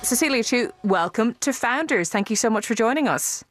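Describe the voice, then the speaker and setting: A woman's voice: a little high, animated, fairly quick, no echo, soft background music. A woman radio presenter delivering scripted introductions and putting interview questions to a guest.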